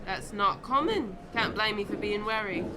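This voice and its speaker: A woman's voice: mid-range voice, lively intonation, moderate pace, noisy background, natural voice. An actress playing a wary, skeptical young girl who talks in a guarded way.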